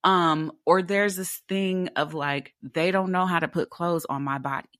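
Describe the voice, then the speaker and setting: A woman in her twenties with a deep alto voice, talking candidly as a theatre actor in a casual interview, animated and full of rhetorical questions. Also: a little slow, no echo, clean studio sound. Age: 20s.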